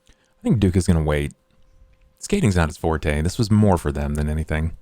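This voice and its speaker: Very deep-voiced deadpan man. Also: normal speed, dry recording, studio quality. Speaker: player. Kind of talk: roleplaying game banter.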